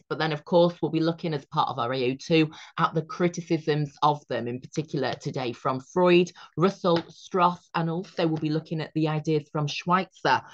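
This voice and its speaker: A young man with a hoarse, strained voice, talking through an exam revision lesson in an upbeat, encouraging way. He speaks from a webcam at his desk in a room lined with books. The sound is dull and lo-fi. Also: on the high side. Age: young adult. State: hoarse, recovering from losing his voice. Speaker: revision tutor.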